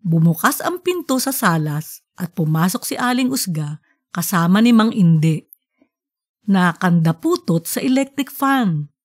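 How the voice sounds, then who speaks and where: A woman's voice: on the low side, animated, measured pace. A woman narrating a scripted comic story in colloquial language, as an audiobook podcast narrator.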